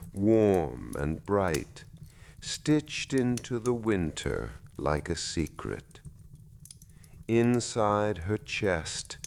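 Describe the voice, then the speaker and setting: A man reading a bedtime story in a gentle, measured, soothing way with deliberate pauses. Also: lowish voice, slight background noise. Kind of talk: slow soothing scripted narration.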